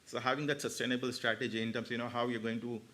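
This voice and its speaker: A man with a medium-pitched voice gives a spontaneous answer as an expert on a panel. He explains haltingly, often circling back to rephrase his point. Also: level tone, a bit bright. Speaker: panel speaker, radiotherapy expert.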